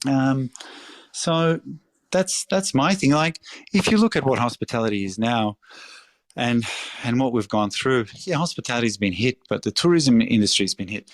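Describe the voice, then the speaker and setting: A middle-aged Australian restaurateur talking in a casual interview, passionate and emphatic, swearing freely. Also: male voice, mid-range voice, animated, moderate pace, dry recording. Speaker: restaurateur guest.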